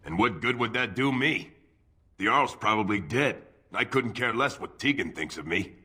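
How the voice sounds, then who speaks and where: An actor playing a blunt, scornful dwarf mercenary boss with a gruff, rough voice in a video game. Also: male voice, on the low side, animated, moderate pace, bright, forceful tone, exaggerated voice. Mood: scornful haggling. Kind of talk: video game character acting.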